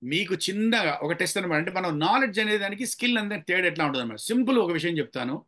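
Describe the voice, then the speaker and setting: A man's voice: high-pitched, expressive, racing, bright, forceful tone. A man training people in public speaking, emphatic and challenging as he instructs with examples. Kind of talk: interactive training lecture with examples.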